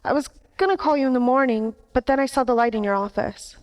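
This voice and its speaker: Noir parody nightclub singer, female actor: high voice, jittery, pleading, faltering helpless-dame delivery. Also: fast, a little mellow, close to an everyday voice. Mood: earnest. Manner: hesitant, imploring. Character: nervous, pleading female nightclub singer, a femme fatale client. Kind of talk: 1940s radio noir parody acting.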